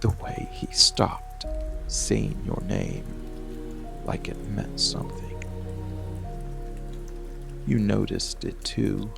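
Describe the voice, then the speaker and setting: Man acting a gravelly, slow-murmuring monster boyfriend. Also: fairly even intonation, heavily acted. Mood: quiet, possessive. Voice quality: low, gravelly, breathy murmur often near a whisper. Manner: slow, deliberate delivery with long pauses. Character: possessive dark monster boyfriend, hidden protective watcher. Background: rain and music.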